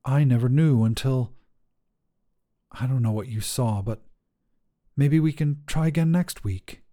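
Male narrator as loving mother, frustrated, earnest, halting, slightly lifted pitch, audio short story. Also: warm tone, lightly acted. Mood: earnest, apologetic. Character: frustrated but loving mother of a young daughter.

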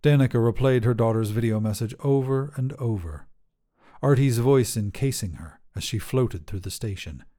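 Mellow male short-story narrator. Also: mid-range voice, normal speed. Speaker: podcast narrator, producer and host.

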